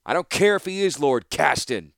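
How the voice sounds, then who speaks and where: A man voicing a stern veteran weapons master who gives calm, commanding orders. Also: a little high, normal speed, gravelly, no room sound, studio quality, pitched above the performer's own voice, exaggerated voice. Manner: calm, commanding, dryly threatening.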